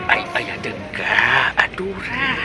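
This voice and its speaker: An actor playing an eager, teasing middle-aged suitor who stammers and wheedles, in a comedy radio drama. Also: male voice, high-pitched, huge pitch swings, slow pace, loud music behind the voice, exaggerated voice. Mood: wheedling.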